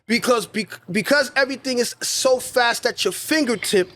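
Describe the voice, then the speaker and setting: Podcast co-host, man, high pitch, emphatic and repetitive. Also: normal speed, pushed and bright. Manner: emphatic, preachy, repeats phrases for stress. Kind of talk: casual podcast conversation.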